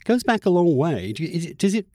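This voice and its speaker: A man's voice: on the high side, very animated, quick pace, darkish tone, dry recording, studio quality. A man hosting a conversational podcast interview, asking long questions that he rephrases as he goes.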